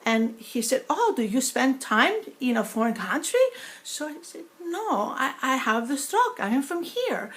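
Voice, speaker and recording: A middle-aged woman whose speech disorder gives her a foreign-sounding accent, talking slowly and haltingly with many pauses and fillers. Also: sits high, fairly bright tone. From New York. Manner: slow halting speech, many fillers, dropped in-between words. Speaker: vlogger sharing her experience. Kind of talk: personal talk to camera.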